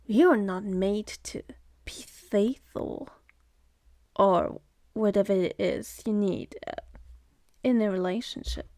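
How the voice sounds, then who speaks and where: A woman's voice: mid-range voice, takes it slowly, long pauses, dark tone, dry recording. A woman voicing a sardonic, seductive demon in an ASMR roleplay, mocking and contemptuous, speaking close to the mic. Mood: scornful.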